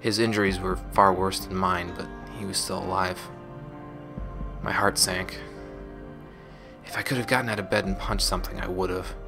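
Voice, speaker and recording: A man voicing a young former gang operative, telling painful memories in a measured, scripted audio log. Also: low-pitched, steady tone, natural voice. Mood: regretful, reflective. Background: music.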